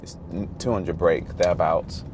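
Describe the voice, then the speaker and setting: A very deep-voiced man talks casually while driving, rambling and correcting himself, his voice slightly hoarse. He is recorded inside a moving car. Road and engine noise hum clearly underneath. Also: fairly even intonation, a little slow. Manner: rambling, self-correcting, easygoing. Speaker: car reviewer, vlog host.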